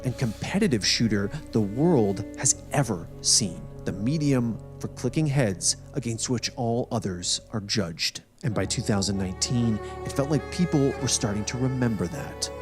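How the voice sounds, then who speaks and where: A man's voice: medium pitch, expressive, normal speed, few pauses. Polished male documentary narrator over music. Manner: dramatic, polished storytelling delivery. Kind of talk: scripted narration. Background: background music.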